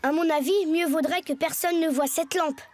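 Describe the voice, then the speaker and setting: A woman's voice: sits high, steady tone, moderate pace, darkish tone, close to an everyday voice. A woman voicing a polite, good-natured young schoolboy in a French cartoon, earnest and a little hesitant. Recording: music behind the voice. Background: music.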